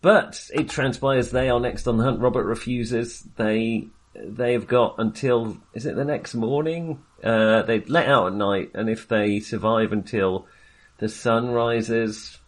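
A man's voice: mid-range voice, fairly even intonation, measured pace. A man hosting a casual film discussion, talking in a hesitant, jokey way with wry understatement. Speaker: film podcast host.

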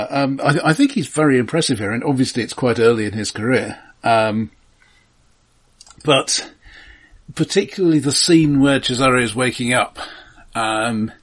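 A man with a husky, unpolished voice at an average pitch talks casually about film. His delivery is measured and analytical, and he qualifies his points as he builds them. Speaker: film podcast host.

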